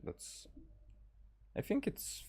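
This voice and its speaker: A man livestreaming a game in a casual, rambling way, often halting and trailing off mid-thought. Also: a little mellow. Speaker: game streamer. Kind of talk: casual livestream chat over gameplay.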